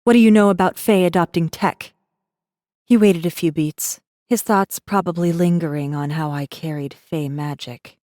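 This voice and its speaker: A guarded rebel messenger, voiced by a woman, tells her story in a deep, dark female voice. The audiobook delivery is tense, measured and dramatic, with a very dry, close sound. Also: steady tone, no room sound, natural voice. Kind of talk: dramatic first-person audiobook narration.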